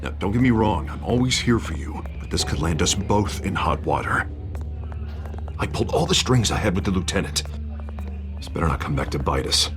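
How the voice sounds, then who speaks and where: An actor voicing an earnest, anxious politician in a video game, pressing and worried in tone. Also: male voice, sits low, animated, fairly quick, music in the background.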